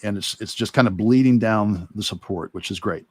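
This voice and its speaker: A man's voice: fairly low pitch, normal speed, darkish tone, husky, dry recording. A man giving a casual instructional demonstration as an illustrator, thinking aloud in loose, broken asides as he works. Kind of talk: casual instructional talk while working.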